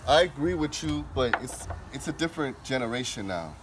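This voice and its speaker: A man's voice: medium pitch, animated, brisk. Easygoing man in his thirties hosting. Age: 30s. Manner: easygoing, prompting others to talk. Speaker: host. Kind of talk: casual group discussion. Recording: background noise.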